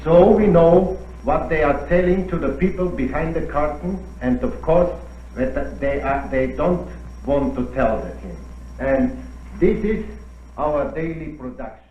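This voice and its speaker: A man supervising a monitoring section, explaining his work in a halting way, searching for words. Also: on the high side. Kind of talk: unscripted explanation. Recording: roomy, dull old film sound with hiss.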